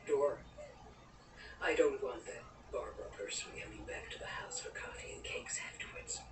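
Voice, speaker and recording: A woman's voice: very deep voice, moderate pace, echoey room, distant mic, background noise. A woman playing a severe, pious, judgmental small-town mother, stern and cold, in a radio drama. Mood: cold, disapproving.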